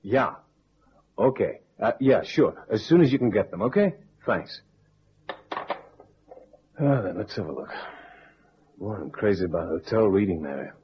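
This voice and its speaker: An actor playing a stubborn, irritable private investigator in an old-time radio drama, with a brusque, sardonic, halting delivery. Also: male voice, lowish voice, animated. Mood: wry, impatient. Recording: dull vintage radio recording, faint hiss.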